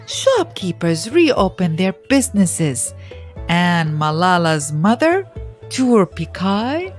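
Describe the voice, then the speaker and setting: A woman narrating a scripted children's story podcast with deliberate phrasing and dramatic pauses. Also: fairly low pitch, sing-song, faint music behind.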